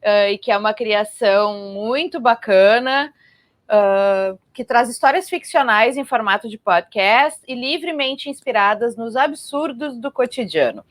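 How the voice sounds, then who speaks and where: Casting: female host, Brazilian Portuguese accent, slightly high voice, presenting and interviewing for a festival show. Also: expressive, measured pace.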